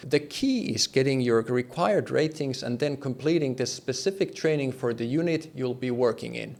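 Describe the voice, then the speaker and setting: A man's voice: medium pitch, expressive, moderate pace, gravelly, darkish tone. A man presenting a scripted explainer in a conversational, plain-spoken way, with emphasis and light wryness. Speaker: host, air traffic control explainer.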